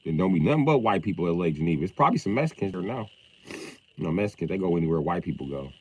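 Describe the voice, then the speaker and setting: Man, livestream chat, loud, profane, low voice, very lively pitch. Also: rapid-fire, husky, dull audio.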